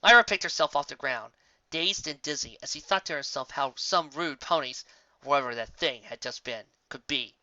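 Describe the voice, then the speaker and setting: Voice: mid-range voice, expressive, normal speed, bright, forceful tone, no echo. A narrator reading a fan fiction story aloud as a dramatic audiobook, in a slightly dull, dry recording.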